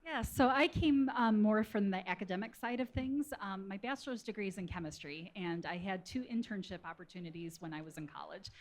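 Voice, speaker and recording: A woman's voice: mid-range voice, lively intonation, fairly quick, hardly any pauses. A woman speaking as an expert panel guest, explaining her work in a casual, conversational way. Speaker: panel guest, food scientist.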